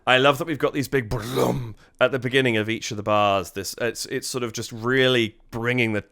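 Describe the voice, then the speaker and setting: A man's voice: mid-range voice, fairly even intonation, normal speed, vocal fry, a bit bright, no echo, studio quality. A man hosting a reaction video, slightly stuffy from a cold, thinking aloud with admiring, casual music commentary. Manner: admiring, thinks aloud, hums melody lines.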